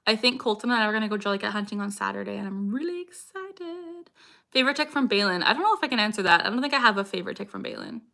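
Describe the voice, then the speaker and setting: A woman's voice: medium pitch, animated, racing, vocal fry, pushed and bright. A young woman chatting casually on a livestream and answering viewers' questions. She is upbeat and laughs often, and her sentences are broken by sudden vocal tics.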